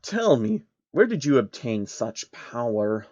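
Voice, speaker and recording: A man voicing a sly, elderly male billionaire manipulator in a slow, measured way for a fan story. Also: mid-range voice, sing-song, darkish tone, no echo, highs cut off. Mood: probing. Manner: slow, measured, drawn-out delivery.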